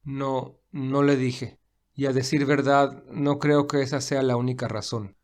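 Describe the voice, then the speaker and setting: Man acting an earnest, devoted young gentleman. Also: medium pitch, level tone, a little slow, close to an everyday voice. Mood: pensive, determined. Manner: earnest, reflective.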